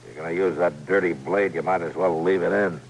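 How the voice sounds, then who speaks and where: A man's voice: low-pitched, steady tone, a little fast. An American actor playing a wounded, stoic, sardonic lawman in a radio western, terse and weary. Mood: defiant, pained. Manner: terse, weary, dryly defiant. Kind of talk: old-time radio western acting. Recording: noisy vintage radio recording with hum.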